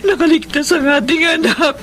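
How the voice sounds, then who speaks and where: A woman's voice: high voice, fairly even intonation, normal speed, natural voice. A woman playing an anxious, protective middle-aged mother in an emotional Filipino radio melodrama. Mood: solemn, tender. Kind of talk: Filipino radio drama acting.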